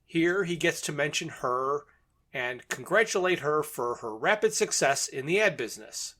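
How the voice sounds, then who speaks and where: A man with a fairly high-pitched voice, hosting a podcast. He walks through a drama episode point by point in a conversational recap, with a chuckle here and there. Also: animated, normal speed, fairly bright tone.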